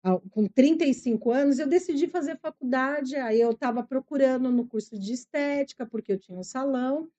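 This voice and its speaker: A woman's voice: sits high, fairly quick, few pauses, mellow, highs cut off. A middle-aged woman with a São Paulo Brazilian accent, a psychologist guest on a podcast, speaking in an earnest, reflective way and explaining things patiently. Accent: São Paulo Brazilian Portuguese. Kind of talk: podcast interview, personal storytelling.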